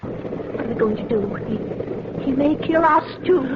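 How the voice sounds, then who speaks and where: An actress playing a flustered, dithering elderly amateur sleuth, fluttery and dramatic, in an old radio mystery. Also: female voice, high voice, unhurried. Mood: anxious fluster. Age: older. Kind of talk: 1950s radio mystery acting. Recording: muffled, noisy vintage radio recording.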